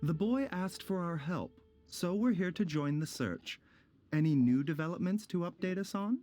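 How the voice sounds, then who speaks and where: A gentle game doctor. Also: male voice, sits high, expressive, moderate pace, soft background music. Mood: calm. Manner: composed and polite. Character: calm, gentle male doctor.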